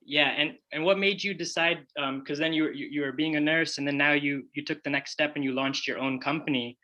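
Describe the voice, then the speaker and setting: A man's voice: on the high side, steady tone, brisk, pushed and bright, dull audio. A man hosting a casual interview podcast, friendly, stumbling a little with fillers as he asks questions. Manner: friendly, with many fillers and restarts when asking. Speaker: podcast host.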